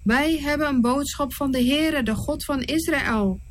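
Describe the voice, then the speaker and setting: Aaron, a humble, earnest brother pleading before a king, read out by a woman on the radio. High and slow. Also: slight background noise. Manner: earnest, imploring.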